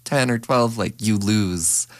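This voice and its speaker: A young man co-hosting a radio show in a studio, talking in a relaxed, hesitant way with many fillers. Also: lowish voice, slow pace, no room sound. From Canada. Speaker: radio co-host. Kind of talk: casual radio chat. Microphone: studio microphone.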